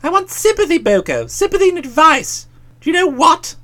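A man voicing a hapless young English gentleman in a storybook, pleading in a plaintive, emphatic, excitable way. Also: very high-pitched, normal speed, pitched above the performer's own voice, full character voice.

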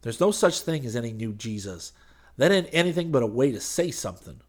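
A man's voice: medium pitch, sing-song, brisk. A man voicing a stubborn, irritable country man in an audiobook, speaking curtly and emphatically. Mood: stubborn, irritated. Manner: blunt, ungrammatical, emphatic.

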